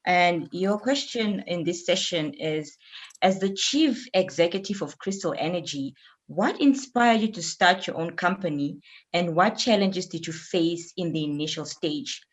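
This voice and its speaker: A woman moderator reads out prepared questions to a guest in a formal, measured manner. Her voice sits on the lower side. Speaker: session moderator. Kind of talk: posing prepared interview questions.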